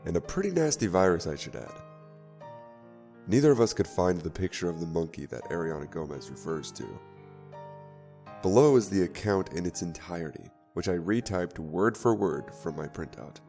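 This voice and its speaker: Man reading as a low, gravelly, sober letter writer. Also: expressive, normal speed, a little mellow. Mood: sober, measured. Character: man presenting a retyped eerie blog account, sober. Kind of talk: scripted horror story narration. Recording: music under the voice. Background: background music.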